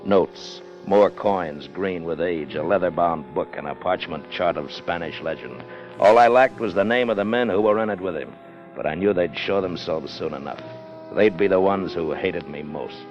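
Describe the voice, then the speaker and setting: An actor playing a hard, cunning first officer on a whaling ship in clipped, tough 1950s radio drama style. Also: male voice, sits low, moderate pace, natural voice. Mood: brooding, grim. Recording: muffled old radio recording with music.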